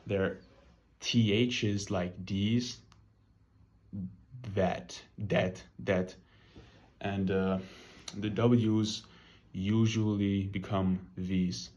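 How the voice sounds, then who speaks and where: A man's voice: fairly low pitch, steady tone, very slow, pauses often, darkish tone. A young man from Los Angeles with an LA accent, giving a casual class presentation, loose and slangy with lots of fillers. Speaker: student presenter.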